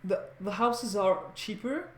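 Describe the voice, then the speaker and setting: High-voiced man in an echoey room. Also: fairly bright tone. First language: French. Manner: halting, rambling, repeats words and corrects himself often. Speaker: vlogger, language learner. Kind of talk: casual unscripted monologue to viewers.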